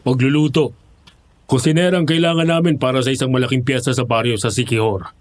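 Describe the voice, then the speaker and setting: A man's voice: mid-range voice, steady tone, fast, natural voice. An actor playing a persuasive, glib male job recruiter with coaxing sales talk in a horror audio drama.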